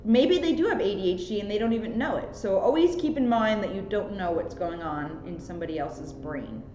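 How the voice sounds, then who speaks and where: Woman police co-host, casual supportive commentary, mid-range pitch, muffled echoey room sound with faint noise. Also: lively intonation, a little fast. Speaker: police officer co-hosting a children's book reading.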